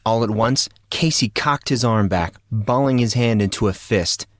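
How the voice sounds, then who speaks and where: Male audiobook narrator, lowish voice, dramatic, suspenseful, deliberate, dry close recording. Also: a bit bright, dry recording. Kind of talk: dramatic scripted narration of fantasy fiction.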